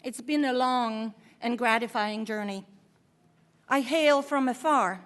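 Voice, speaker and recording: A middle-aged woman from Poland, a professor, giving a formal commencement keynote at a podium microphone in a measured, deliberate way. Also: on the high side, a bit bright.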